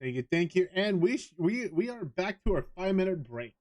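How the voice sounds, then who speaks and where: A man's voice: high voice, very animated, a little fast, darkish tone. A man talking casually to viewers as a livestreamer during a gaming stream.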